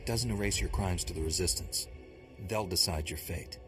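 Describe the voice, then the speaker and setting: A low-voiced, stern Jedi Knight played by a male actor, blunt and measured as he gives orders. It is scripted video game acting, with music clearly playing behind the voice. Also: lightly acted.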